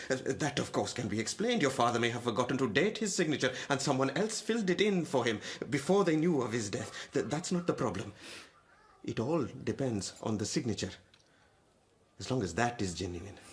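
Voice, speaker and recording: Radio drama role: embittered moneylender, played by a man, mid-pitched, coolly polite and threatening, faint noise on the recording. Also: expressive, close to an everyday voice. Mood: cold interrogation. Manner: deliberate, insinuating, formally polite menace. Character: embittered, menacing Indian moneylender and lowly clerk, a father.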